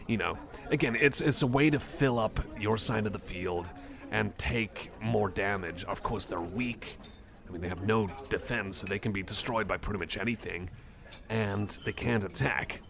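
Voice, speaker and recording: A man with a fairly low voice rambles casually to a single listener, as in an ASMR roleplay. He meanders and hesitates, with a geeky interest in small details. A busy cafe crowd is clearly heard behind him. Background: cafe crowd ambience.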